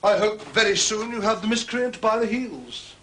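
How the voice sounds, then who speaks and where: Pompous, bright-voiced butler. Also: male voice, high-pitched, expressive, moderate pace, slight background noise. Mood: formal, discreet. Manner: formal, pompous, deferential to authority. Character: smooth, self-important male butler, discreet and condescending. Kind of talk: period detective drama acting.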